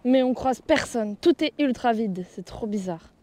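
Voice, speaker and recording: A woman's voice: a little high, animated, unhurried, darkish tone, no echo. A young woman in her twenties, a skier chatting casually to an action camera, nervous and giggly. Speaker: freestyle skier vlogging. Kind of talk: casual vlog chat. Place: outdoors, walking around a city and ski venue. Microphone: action camera held at arm's length.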